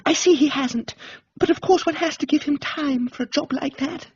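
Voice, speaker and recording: A man voicing a secretive young English vicar's daughter, breathless and hushed, in a dramatised book reading. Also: extremely high pitch, normal speed, no room sound, muffled recording, voice pushed up.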